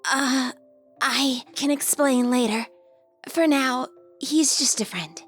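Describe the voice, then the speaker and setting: A gentle cookie heroine from a cartoon fan drama, voiced by a woman. Her voice is high and breathy, and she talks slowly and hesitantly over soft music. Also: exaggerated voice. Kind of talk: scripted fan audio drama acting.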